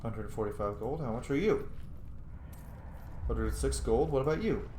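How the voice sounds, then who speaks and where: A man's voice: medium pitch, moderate pace, dark tone. A man giving casual, playful video game commentary, swearing now and then and rambling off into asides. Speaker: let's play streamer. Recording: roomy echo, faint background noise.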